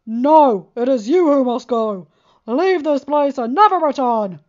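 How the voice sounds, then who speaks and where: A woman voicing a menacing male shadow king with a booming, commanding voice. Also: lively intonation, unhurried, darkish tone. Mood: threatening. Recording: slightly muffled with faint music.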